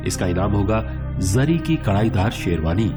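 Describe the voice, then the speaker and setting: A man playing a suave, encouraging game show host in a radio play, presenting theatrically with deliberate pauses. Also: fairly low pitch, animated, natural voice. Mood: formal. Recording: music bed behind the voice.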